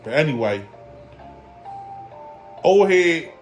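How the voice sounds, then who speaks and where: A man's voice: fairly high pitch, big pitch swings, takes it slowly, faint music behind. A man in his forties talking casually in a boxing vlog, loose and rambling, leaning on fillers and repeating his points for emphasis. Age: 40s. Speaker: boxing commentary vlogger.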